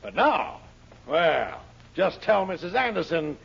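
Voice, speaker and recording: An actor playing a scheming, spiteful small-town shop owner in a 1940s radio comedy, fussy and theatrically indignant, with drawn-out sarcasm. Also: male voice, on the high side, sing-song, vocal fry, breathy edge, exaggerated voice. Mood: gloating. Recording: muffled vintage 1940s radio broadcast.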